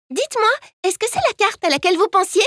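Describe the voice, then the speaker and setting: A woman voicing a sweet, upbeat, wide-eyed little girl in an animated cartoon. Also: very high voice, moderate pace, no room sound, studio quality. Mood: cheerful. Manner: cheerful, earnest. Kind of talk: French animated cartoon dubbing. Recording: loud music behind.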